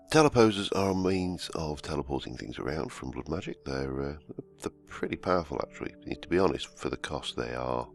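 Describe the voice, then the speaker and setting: A man, croaky from a cold, hosting casual gameplay commentary and rambling as he plays. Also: very deep voice. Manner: rambling, self-correcting, humming to himself. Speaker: let's-play host. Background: soft background music.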